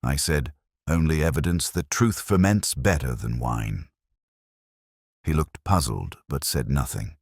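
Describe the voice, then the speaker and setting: A man playing a melancholy, watchful police inspector, narrating a literary mystery in a measured, wry and brooding way. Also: extremely low pitch, no echo, clean studio sound, exaggerated voice. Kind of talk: scripted first-person literary mystery narration.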